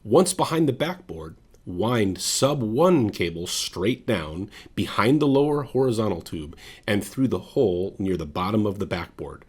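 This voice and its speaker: Low, husky male instructional narrator. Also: lively intonation, measured pace, few pauses, a little mellow. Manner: methodical, directive. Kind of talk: scripted step-by-step instructional narration.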